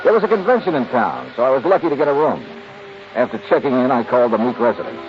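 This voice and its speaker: An American actor playing a wry, unflappable insurance investigator in a 1950s radio detective drama, clipped and casual. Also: male voice, medium pitch, very animated, fast, over music, natural voice. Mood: crisp narration. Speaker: lead actor and narrator. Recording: muffled, noisy 1950s radio recording.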